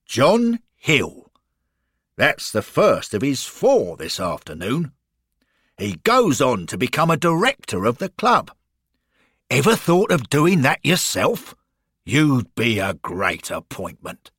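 A man voicing a cheery, keen guide to club history in a story, brisk, enthusiastic and informative. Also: medium pitch, huge pitch swings, a bit bright, breathy edge, no room sound, clean studio sound, pitched above the performer's own voice, heavily acted. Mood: proud, encouraging.

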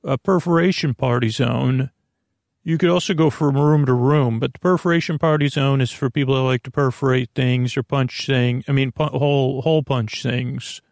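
A man hosting a sleep podcast in a rambling, improvised bedtime monologue, halting and restating himself as he gently reassures the listener. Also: medium pitch, steady tone, vocal fry, no echo, studio quality.

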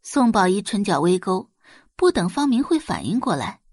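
Expressive woman audiobook narrator, lively pitch. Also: normal speed, slightly rough, dry recording, studio quality. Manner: expressive storytelling. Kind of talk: dramatic scripted narration.

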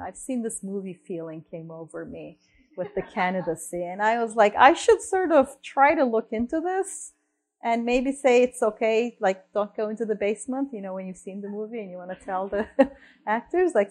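A fairly high-voiced woman from Turkey speaks in the lively way of a lecture. She checks in with "right?" and often restarts her sentences, thinking aloud as she goes. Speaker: researcher and columnist giving a talk. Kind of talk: lecture-style talk.

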